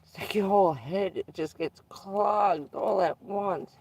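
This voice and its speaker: A livestreamer chatting casually with viewers, stuffed up and losing their voice, who keeps clearing their throat. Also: medium pitch, darkish tone. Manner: frequent throat clearing, unhurried, rambling. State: congested and losing voice. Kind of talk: casual live stream chat with viewers.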